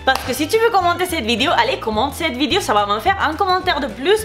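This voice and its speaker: A woman's voice: sits high, sing-song, fast talker, a bit bright. A young woman from Spain with a Spanish accent, speaking French as a beauty vlogger, heated and repetitive as she answers comments. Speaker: beauty YouTuber. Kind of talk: casual vlog, replying to comments.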